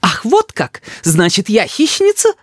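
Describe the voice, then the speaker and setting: A man doing an indignant, high-pitched young mother. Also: wildly swooping pitch, unhurried, no echo, studio quality, full character voice. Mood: offended. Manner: exclaiming, accusing. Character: indignant, emotional young mother, quick to take offence.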